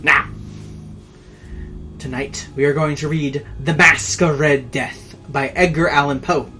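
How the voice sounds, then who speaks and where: A narrator reading a horror tale in a theatrical, dramatic way with drawn-out emphasis. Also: medium pitch, fairly even intonation, bright, forceful tone.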